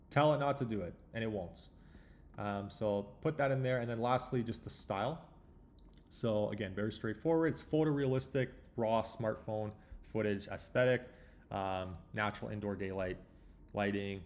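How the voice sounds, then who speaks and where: A man's voice: on the low side, a little mellow. A man hosting a tutorial, talking informally through a walkthrough and explaining as he thinks aloud. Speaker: tutorial host. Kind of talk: casual screen walkthrough tutorial.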